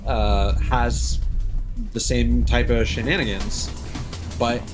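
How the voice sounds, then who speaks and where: A man's voice: medium pitch, fairly even intonation, slow pace, music in the background. A man doing casual gaming commentary as a live streamer, rambling and opinionated as he thinks aloud. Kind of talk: casual gaming commentary, chatting while playing.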